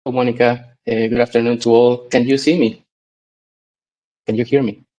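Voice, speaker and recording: A man's voice: medium pitch, little pitch movement, moderate pace, dark tone. A man joining an online panel over a video call, polite as he checks whether he can be heard. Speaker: panelist, risk manager.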